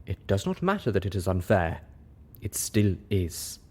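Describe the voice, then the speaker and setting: A man's voice: low voice, very animated, measured pace, darkish tone, breathy edge, exaggerated voice. A man voicing a stoic, resigned female zebra outcast in a storybook, intoning her words formally and plainly. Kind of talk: storybook character voice.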